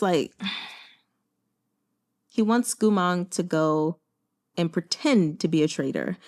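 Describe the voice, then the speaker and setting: An American woman giving casual, chatty book commentary in a conversational, expressive way with emphatic exclamations. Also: mid-range voice. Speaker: book reviewer and host.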